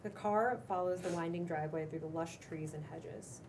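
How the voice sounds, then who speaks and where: A woman with a slightly low voice narrates stage directions from a script. She sounds far from the microphone, with noticeable noise in the recording. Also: normal speed. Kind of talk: scripted narration at a table read. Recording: distant mic, loud room noise.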